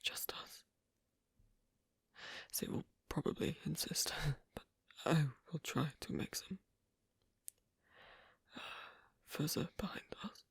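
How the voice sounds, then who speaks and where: A man playing a gentle, worried royal of a fantasy kingdom in an ASMR story roleplay, speaking in a breathy, husky whisper with halting, formal phrasing. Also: a little high, dry recording, heavily acted. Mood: resolved, hesitant.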